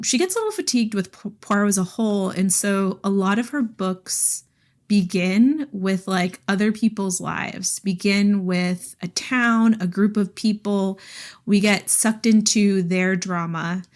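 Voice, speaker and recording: A woman co-hosting a podcast, talking casually and enthusiastically about books, peppering her speech with "like". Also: mid-range voice. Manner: enthusiastic, frequent "like", laughs while talking. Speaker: podcast co-host. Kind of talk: casual book discussion.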